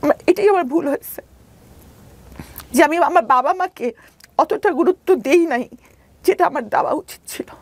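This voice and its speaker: A woman's voice: very high-pitched, animated, normal speed, vocal fry, pauses often. A woman with a Bangladeshi accent telling her personal story as an interview guest, emphatic and insistent, often repeating her points.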